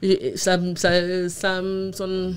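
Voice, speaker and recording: A woman's voice: fairly low pitch, monotone, takes it slowly. A woman in her thirties answering interview questions casually, hesitating and repeating words as she explains. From abroad, immigrant to Canada. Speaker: podcast guest. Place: small indoor podcast studio. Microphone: studio microphone on boom arm with headphones.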